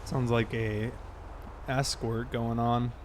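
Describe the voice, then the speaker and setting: A man's voice: medium pitch, level tone, takes it slowly, slight background noise. A man chatting casually on a livestream while playing a game. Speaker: livestreamer playing a police officer. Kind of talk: casual livestream chat over gameplay.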